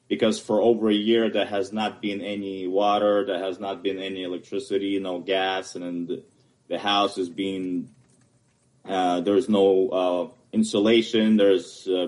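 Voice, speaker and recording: A man from Ukraine with a Ukrainian accent, English not his first language, haltingly explaining his property appeal at a hearing. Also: fairly low pitch, a little mellow. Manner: halting, searching for words. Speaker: homeowner appealing a property assessment.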